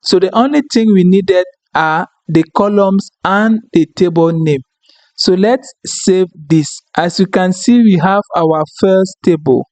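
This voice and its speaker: A higher-pitched man whose first language is French, explaining a data tool step by step at an unhurried pace. He keeps asking "okay?" and "right?" as he goes, and his voice sounds close and dry, with no room echo. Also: a little mellow. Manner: explanatory, frequent "okay?" and "right?" check-ins. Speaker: tutorial instructor. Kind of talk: screen-recorded software tutorial.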